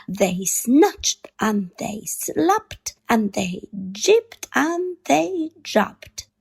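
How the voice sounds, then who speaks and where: A woman reading a children's picture book aloud in an expressive storytime style, with deliberate, dramatic emphasis on key words. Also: a little high, gravelly, husky.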